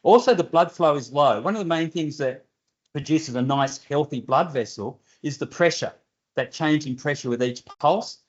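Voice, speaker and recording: A man's voice: on the high side, moderate pace, muffled recording. An older man with an Australian accent, answering audience questions at a seminar in a direct, plainspoken way with dry humour. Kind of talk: seminar Q&A explanation. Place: university seminar room.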